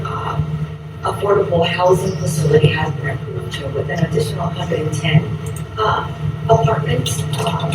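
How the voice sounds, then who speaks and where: A woman on a board commenting at a public meeting, proud and enthusiastic, with frequent fillers. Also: on the low side. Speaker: board member. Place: large echoey meeting room. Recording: echoey, distant, noisy meeting recording.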